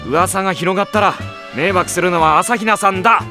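A man playing a sardonic, long-suffering high-school boy who narrates and argues dryly in an anime audio drama. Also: a little fast. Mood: exasperated objection. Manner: dry, wry, deadpan delivery. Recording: music behind the voice.

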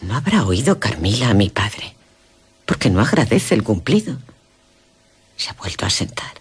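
A wistful young gentlewoman of a gothic radio play, speaking Castilian Spanish. Her voice is husky and very expressive, moving from hushed telling to amazed exclamation. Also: rumbling low, heavily acted. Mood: hushed, uneasy. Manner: dramatic, shifting from hushed narration to excited exclamation. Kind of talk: Spanish radio drama acting, narration and dialogue.

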